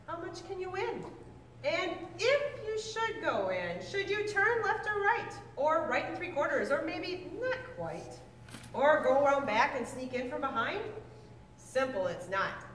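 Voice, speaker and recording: A woman with a high-pitched voice reads aloud to schoolchildren in an expressive storybook style. The sound is distant and reverberant, as in a big echoing room, with faint noise underneath. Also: unhurried. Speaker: teacher reading aloud to sixth grade students. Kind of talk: children's picture book read-aloud to a class. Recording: echoey hall, distant mic, faint noise.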